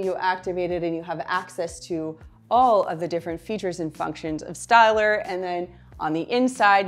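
Enthusiastic woman touring an appliance showroom. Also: lowish voice. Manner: upbeat, enthusiastic product presenter. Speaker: cleaning channel host. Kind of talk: sponsored product walkthrough. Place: large indoor appliance showroom. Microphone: small lapel mic.

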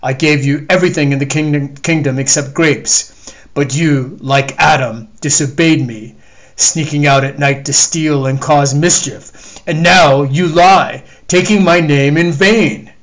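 A man voicing a stern, wrathful male God who hands down commandments in slow, commanding proclamations. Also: medium pitch, lightly acted.

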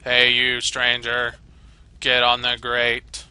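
A man voicing a stern guard in a video game, commanding in a slow, drawn-out delivery. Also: mid-range voice, monotone, sharp tone, clean tone. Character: stern unseen guard barking footprint checks and orders.

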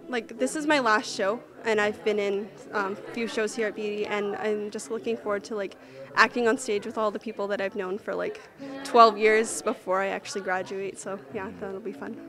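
A woman's voice: mid-range voice, steady tone, normal speed, fairly bright tone. A teenage girl who is a student actor, chatting in an interview with lots of "like", speaking into a handheld mic in a theatre rehearsal room. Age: teens. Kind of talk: casual interview answers.

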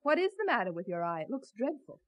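High, swooping exasperated wife. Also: female voice, sing-song, fast. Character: exasperated, sociable wife and mother. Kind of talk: 1970s radio drama acting.

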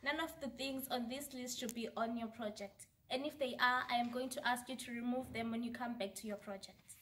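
Young woman, slightly high voice. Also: steady tone, fairly quick, fairly bright tone, a little hiss or hum. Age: young adult. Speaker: science fair committee presenter. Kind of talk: scripted instructional explanation. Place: classroom or lab with display boards, indoors.

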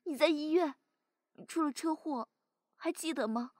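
A woman's voice: sits high, moderate pace, a little mellow, dry recording, clean studio sound, natural voice. An actress playing a worried young woman at a hospital bedside in an audio drama. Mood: gentle, worried. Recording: clearly noisy background.

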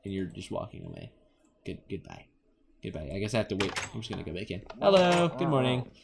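Playful young man with animated pitch. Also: fast, creaky, noisy background. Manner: playful, rambling, talks to game characters and himself. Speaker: gaming video host. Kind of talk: casual Let's Play commentary on an adventure game.

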